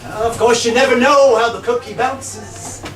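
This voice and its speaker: Male actor as evasive, contrary schemer in a live play: terse, dry replies in a high voice, distant mic. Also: normal speed, a bit bright. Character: evasive, cagey, contrary man in a shady partnership. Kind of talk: live stage play, clipped absurdist banter. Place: small live venue in a bar, far microphone. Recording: distant roomy live recording, noisy.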